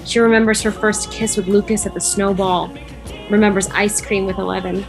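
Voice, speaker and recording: A woman's voice: medium pitch, little pitch movement, a little fast, music in the background. A woman reading scripted stage directions as narrator of a fan table read over a video call. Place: remote video call, music behind.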